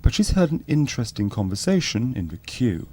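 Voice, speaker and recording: A man playing a straight-faced, matter-of-fact docu-soap narrator in a radio spoof, reading scripted narration deadpan. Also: medium pitch, brisk.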